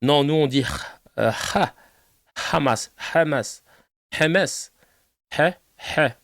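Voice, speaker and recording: Animated, loud-laughing man host. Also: on the high side, slow pace, no room sound, clean studio sound. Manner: animated, laughing, swearing, mocking. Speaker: streamer host reacting to clips. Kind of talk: casual live reaction commentary.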